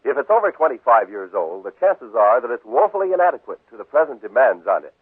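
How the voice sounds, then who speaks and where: A man's voice: medium pitch, big pitch swings, quick pace, slightly rough, no room sound. A man announcing on 1950s radio in a formal, declarative scripted style. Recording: muffled 1950s radio broadcast recording.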